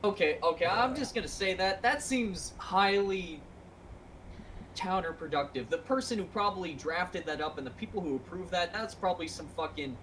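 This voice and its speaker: Bright, forceful man over light noise. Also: sits high, moderate pace, poor audio. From United States. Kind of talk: casual group discussion.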